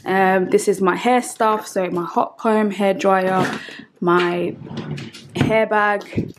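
A woman's voice: mid-range voice, steady tone, slow, background noise. A young woman giving a casual, chatty room tour, filming on a handheld phone in a small empty room. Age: young adult. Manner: chatty, lists things, self-correcting. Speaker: home vlogger. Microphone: handheld phone camera at arm's length.

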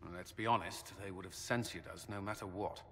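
A battle-weary male commander from a fantasy game. His voice is bright and forceful, with a blunt, skeptical delivery. It carries a long reverb. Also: low-pitched, steady tone, a little fast. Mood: dry, skeptical. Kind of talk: dramatic fantasy game acting.

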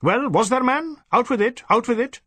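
An irascible Indian police chief, acted out by a male audiobook reader, cold and overbearing. His voice rides high and swings widely as he barks and repeats himself. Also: animated, moderate pace, a bit bright, husky, no room sound, full character voice. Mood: irritated, impatient. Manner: snapping, barking, repeats himself impatiently. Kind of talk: audiobook character acting.

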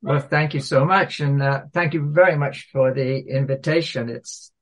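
A man's voice: medium pitch, fairly even intonation, moderate pace. Polite older British man being interviewed. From South Wales, UK. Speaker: author, interview guest. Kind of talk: unhurried interview talk.

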